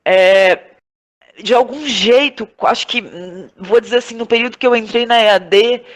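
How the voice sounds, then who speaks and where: A Brazilian woman from Porto Alegre speaking reflectively as an interview guest, halting and searching for her words. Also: a little high. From Porto Alegre, southern Brazil. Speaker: podcast guest, theatre director, playwright and actress.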